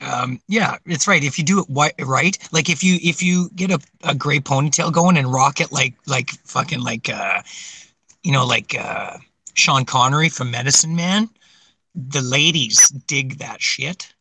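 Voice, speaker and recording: Man in his forties, bright close voice, loose rambling chat with laughs. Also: medium pitch, a little slow, husky, no echo. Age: 40s. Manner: rambling, laughs often, swears casually. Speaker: livestream co-host. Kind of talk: casual chat.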